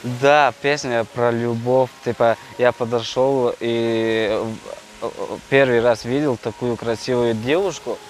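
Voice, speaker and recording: A young man from Tajikistan with a Tajik accent, a street vlogger chatting with passers-by in halting Russian full of fillers and restarts. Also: medium pitch, fairly even intonation, a little hiss or hum, poor audio. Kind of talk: casual street chat and singing. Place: outdoors in a city park.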